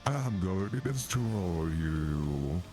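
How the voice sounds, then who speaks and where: A man acts out a brutish game wrestler with a low, heavy voice. He is blunt and menacing, spoiling for a fight, with music playing behind him. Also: expressive, drawn-out words, heavily acted. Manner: threatening, blunt. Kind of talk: amateur game character dub.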